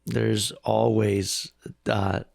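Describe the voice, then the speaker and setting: A man's voice: on the low side, flat delivery, extremely slow, vocal fry, darkish tone, no room sound. A middle-aged man from Iran, a hospital president, telling his life story in an earnest, reflective interview. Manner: earnest, reflective, speaks with conviction.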